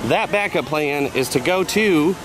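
A man with a hoarse, worn voice, chatting excitably to his camera as he walks around a theme park. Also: on the high side, measured pace, noisy background. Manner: chatty, excitable, laughs often. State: hoarse, voice partly lost. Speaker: vlogger. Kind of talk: casual theme park vlog.

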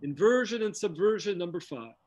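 A man's voice: high-pitched, animated, brisk, highs cut off. A middle-aged man giving scripted scholarly narration over a video call. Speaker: lecturer and presenter. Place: indoor room with bookshelves, on video call.

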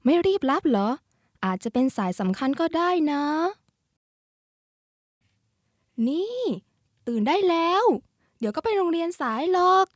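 A woman voicing a lively, caring schoolgirl in expressive cartoon character lines, youthful and familiar as if among friends. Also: sits high, moderate pace, no room sound, studio quality. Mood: nagging. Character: lively, caring schoolgirl who loves a card-flicking game.

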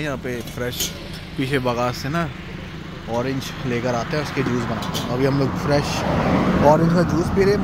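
A young man chatting casually to his phone camera outdoors, pointing things out to viewers as he walks around. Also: medium pitch. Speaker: travel vlogger. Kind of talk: casual handheld narration. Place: outdoors by a lake, park and roadside. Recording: loud outdoor wind and traffic noise.

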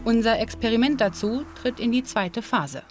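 Woman doing documentary voice-over, calm and measured, music playing under it. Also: a little high. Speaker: documentary narrator. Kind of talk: scripted documentary narration.

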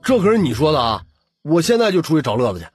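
A man's voice: a little high, big pitch swings, quick pace, breathy edge, faint music behind, voice pushed up. A man voicing a cheeky, indignant young male tenant in an audiobook, complaining and pleading dramatically.

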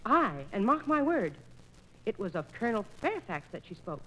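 An actress playing a meddling, knowing older dame in a theatrical 1940s radio operetta. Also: female voice, mid-range voice, very animated, moderate pace, pushed and bright. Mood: gossipy, knowing. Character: gossipy, meddling older dame, eager to marry. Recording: noisy old 1940s radio disc.

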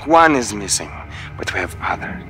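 Here is a cruel Russian officer with a creaky edge to his voice. He speaks slowly with a Russian accent, condescending and full of menace, swinging widely in pitch as in a tense game cutscene, over a dramatic score. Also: very animated, bright, forceful tone, faint music behind, exaggerated voice. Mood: quiet menace. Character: cruel, menacing male Russian army officer interrogating prisoners. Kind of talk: video game cutscene acting.

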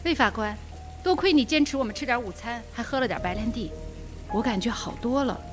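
A woman playing a polite, nervy young woman in a Mandarin radio drama, with expressive delivery. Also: on the high side, brisk, over music, natural voice. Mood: anxious, polite.

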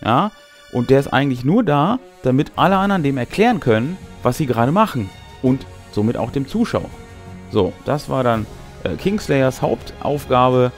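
A man's voice: mid-range voice, very animated, normal speed. A man in his thirties, a game master giving explanatory commentary on a tabletop game to camera. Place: small indoor room with brick wall. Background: music.

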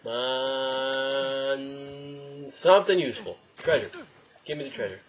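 A man's voice: mid-range voice, expressive, unhurried, soft background music. A young man giving casual, sweary let's play commentary, grumbling and talking to himself and the game. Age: young adult. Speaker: gaming video host. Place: room indoors at home. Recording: game noise behind the voice. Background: video game sounds.

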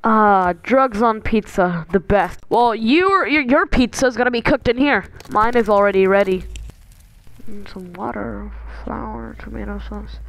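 A woman voicing playful, excitable cartoon stick-figure friends in a fan voice-over. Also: medium pitch, unhurried, vocal fry, dry recording, poor audio.

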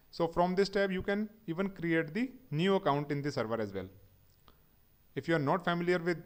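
A man with a somewhat high voice narrates a screen-recorded tech tutorial. He works methodically, step by step, spelling out every command. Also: clear, resonant tone. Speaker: instructor.

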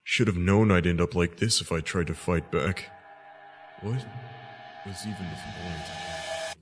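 A man voicing a weary, defeated captive monster, husky and dryly resigned. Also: low voice, a little slow, long reverb tail, music in the background. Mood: weary resignation. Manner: resigned, dry.

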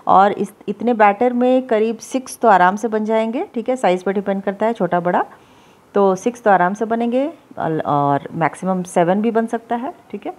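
A woman's voice: mid-range voice, moderate pace, darkish tone. Chatty woman hosting a cooking video. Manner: chatty, repeats "theek hai" often. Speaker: cooking channel host. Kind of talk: casual recipe demonstration. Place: home kitchen, voice-over.

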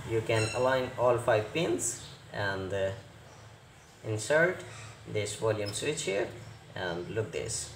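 A man presents a hands-on repair tutorial at a slow pace, in short halting fragments with non-native phrasing. His pitch sits in the middle for a man. The recording is roomy and echoey, with clear noise behind the voice. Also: expressive. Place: indoor repair workbench.

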